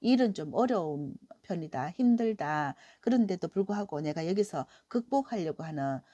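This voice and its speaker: Hoarse woman reading tarot. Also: lowish voice, animated, normal speed, no room sound, lo-fi sound. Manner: gentle, encouraging, many "eo" fillers. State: hoarse from a cold. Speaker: tarot reader. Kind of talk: weekly tarot reading to viewers.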